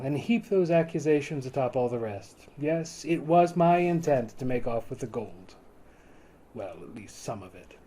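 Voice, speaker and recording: A man's voice: a little high, warm tone. A man playing a proud, bitter condemned sailor of the 1860s, telling his tale in theatrical, formal old-fashioned language in a dramatic novel reading. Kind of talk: dramatic reading of a novel aloud, first-person monologue.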